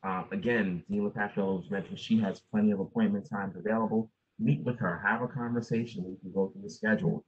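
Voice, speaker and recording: A man's voice: lowish voice, breathy edge. A dean in his forties answering student questions at a virtual town hall, advising with many fillers and restarts. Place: home office. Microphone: video call with earbuds. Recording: dull, muffled video call.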